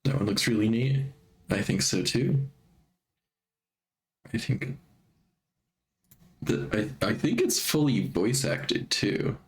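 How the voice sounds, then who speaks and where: A man streaming live in a casual chatty way, a bit stuffy from allergies and clearing his throat often. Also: mid-range voice, lively intonation, slow, long pauses.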